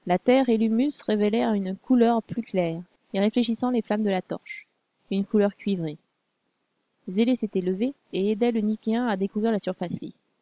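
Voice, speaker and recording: Woman reading a story aloud, mellow tone, dry dull recording. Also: mid-range voice, dry recording. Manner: measured storytelling, also voices the princess. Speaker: audiobook narrator. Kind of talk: scripted story narration.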